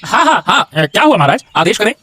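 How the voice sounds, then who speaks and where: A man's voice: high voice, sing-song, quick pace, sharp tone, heavily acted. A man voicing an eager, obsequious royal servant in a cartoon, with a fawning, deferential manner. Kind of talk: cartoon moral-story voice acting.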